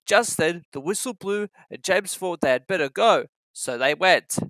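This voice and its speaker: Children's story narrator, lively intonation, bright tone, dry close recording, scripted read-aloud. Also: medium pitch, moderate pace, no echo.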